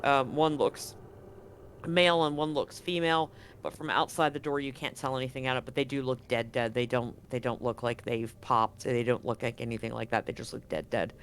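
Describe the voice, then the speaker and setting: A man narrating as game master, describing scenes in a halting way with frequent ums and restarts. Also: mid-range voice, fairly even intonation, a bit bright, slight background noise.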